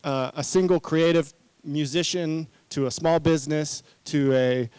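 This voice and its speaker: Man in his forties, conference speaker, conversational and earnest, self-correcting, smooth clear tone, dry close sound. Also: on the high side, fairly even intonation, a little slow, a little mellow, no echo. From New Zealand-born, raised in Hawaii. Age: 40s. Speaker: conference speaker, marketing consultant. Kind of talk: informal conference talk.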